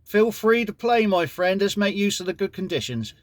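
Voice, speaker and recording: A man's voice: high-pitched, expressive, moderate pace, noisy background. A man with an English accent chatting courteously as an amateur radio operator during a casual contact. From United Kingdom.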